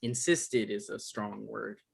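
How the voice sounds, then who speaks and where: A man's voice: fairly low pitch, flat delivery, vocal fry, husky, exaggerated voice. Man playing an awkward, hesitant young guy. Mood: awkward, apologetic. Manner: hesitant, halting, dry. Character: awkward, apologetic young man, sarcastic when cornered. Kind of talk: table read of a comedy script.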